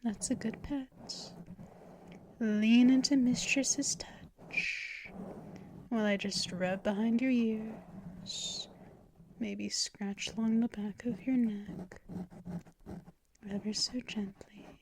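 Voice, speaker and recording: A woman voicing a possessive female vampire mistress in an ASMR roleplay, talking in soft, teasing whispers up close to the mic. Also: fairly high pitch, steady tone, a little hiss or hum. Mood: soothing. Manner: slow, drawn-out delivery.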